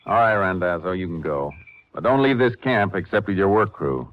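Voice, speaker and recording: An actor playing a calm, authoritative Texas Ranger in an old-time western radio drama, measured and firm. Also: male voice, low-pitched. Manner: measured, firm, matter-of-fact. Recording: muffled, noisy 1950s radio recording.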